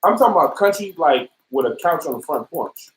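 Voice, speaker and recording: A loud, teasing guest bantering on a radio show. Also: medium pitch, expressive, fairly quick, echoey room, far from the mic, a little hiss or hum, low-quality recording. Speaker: guest in studio. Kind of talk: casual banter.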